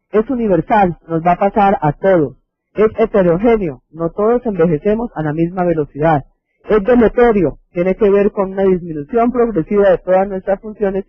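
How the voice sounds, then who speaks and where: A man's voice: sits high, no room sound. A man with a Colombian accent giving an explanatory health lecture in a didactic way, posing rhetorical questions. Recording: dull lo-fi videoconference audio.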